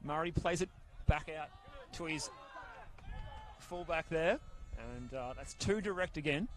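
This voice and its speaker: A man calling a football match outdoors at a ground, soft-spoken and steady, speaking close into a handheld mic. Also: a little high, unhurried. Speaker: football commentator. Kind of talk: live football commentary. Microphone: handheld mic held very close.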